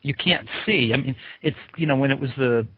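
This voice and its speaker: A man's voice: mid-range voice, a little fast, breathy edge, dry recording. An American man hosting an interview, asking questions in an informal, rambling way as he thinks aloud. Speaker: interviewer, show host. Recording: thin, dry phone-like sound.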